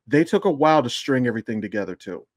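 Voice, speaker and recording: A man's voice: medium pitch, expressive, fast talker, no echo, clean studio sound. A man co-hosting a podcast with casual, emphatic commentary on shows and films, explaining at length and swearing freely. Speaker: podcast co-host.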